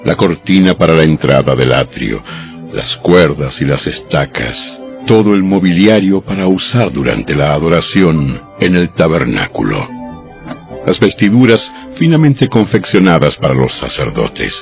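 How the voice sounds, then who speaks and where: A man reading scripted scripture as an audio Bible narrator, solemn and measured, in a dramatized storytelling style. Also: very deep voice, big pitch swings, vocal fry. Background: music.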